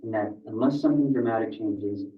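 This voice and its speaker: A man's voice: lowish voice, a little fast. Man narrating a grave documentary. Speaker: video narrator. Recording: distant echoey playback, dull sound.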